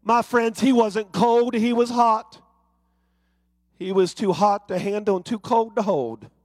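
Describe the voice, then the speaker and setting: High-voiced fervent male preacher. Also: unhurried, dry recording, clean studio sound. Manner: rising to fervent, rhythmic preaching with repeated refrains. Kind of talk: sermon.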